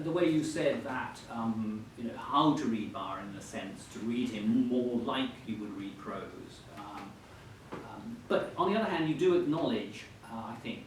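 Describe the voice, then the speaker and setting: A man's voice: medium pitch, animated. Hesitant man interviewing in a very echoey hall. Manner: hesitant, polite, halting phrasing. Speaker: interviewer at a book event. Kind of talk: conversational questioning before an audience. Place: indoor event hall. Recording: echoey hall, distant mic, faint noise.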